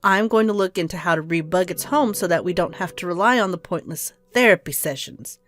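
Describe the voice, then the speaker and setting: A woman's voice: fairly low pitch, brisk, faint music behind, lightly acted. An actor playing a cold, impatient woman doctor in an audio drama, curt, clinical and scheming. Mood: impatient, commanding.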